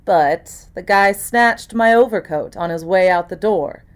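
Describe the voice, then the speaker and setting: A woman's voice: on the low side, a little slow. A woman actor reading scripted first-person mystery narration, as for an audiobook.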